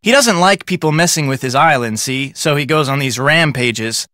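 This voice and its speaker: A man's voice: on the high side, lively intonation, moderate pace, pushed and bright, no echo, clean studio sound. A man playing an inquisitive, level-headed young American amateur detective in a video game, speaking in a casual, probing way. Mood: explaining. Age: young adult. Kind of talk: scripted game dialogue.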